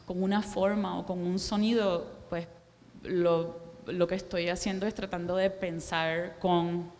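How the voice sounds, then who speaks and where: A woman in her forties with a Puerto Rican accent speaks slowly and thoughtfully to an audience, pausing and filling the gaps as she thinks aloud. Her voice sits somewhat low. The sound rings out in a reverberant hall. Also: little pitch movement, darkish tone, slight background noise. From Puerto Rico. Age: 40s. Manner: slow, reflective, many pauses and fillers. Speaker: guest artist speaking to an audience. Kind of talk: public talk. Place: cultural center lecture room. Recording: echoey hall.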